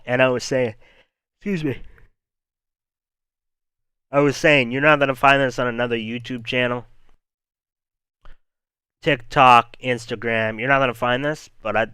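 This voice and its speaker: Calm-voiced man hosting a weather stream. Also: medium pitch, clean tone, no echo. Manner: calm, rambling, talks to chat viewers. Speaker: livestream weather host. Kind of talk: unscripted live weather stream.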